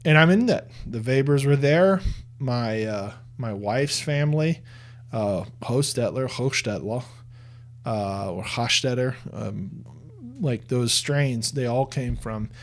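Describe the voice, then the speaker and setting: A man's voice: medium pitch, slow. A man giving earnest religious commentary in a conversational tone, often restarting and repeating words as he makes his case.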